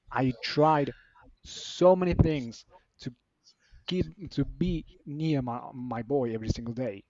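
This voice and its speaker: A man, earnest and halting, often restarting his sentences. His voice sits at an ordinary pitch and sounds slightly dull and lo-fi. The room is dry, with no echo. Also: a little mellow. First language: Spanish. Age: 30s. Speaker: team member sharing his story. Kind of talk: personal story on a live stream.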